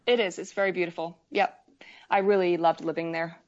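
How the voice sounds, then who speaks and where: A woman's voice: lowish voice, fairly even intonation, brisk. Muffled, hesitant woman from Wales and Canada. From Wales and western Canada. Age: 40s. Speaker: needlework artist, interview guest. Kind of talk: casual chat.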